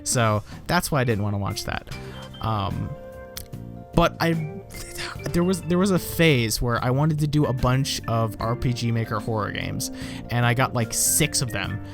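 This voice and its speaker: A man's voice: mid-range voice, unhurried, vocal fry, over music. A young American man, a YouTuber, rambling and self-deprecating as he reacts to his old videos, swearing casually. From United States.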